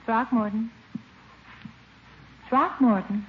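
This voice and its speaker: A woman's voice: a little high, takes it slowly. An actress playing a poised, wry woman being courted in a 1950s radio comedy. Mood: cool, wry. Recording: echoey, muffled radio with loud crowd noise.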